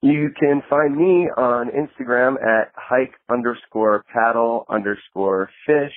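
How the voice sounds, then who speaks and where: A man's voice: mid-range voice, slow pace. A man leaving a casual self-promotional message for a podcast as a listener, on a thin phone-like recording. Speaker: podcast listener giving a plug. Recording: tinny band-limited phone-like sound, dry.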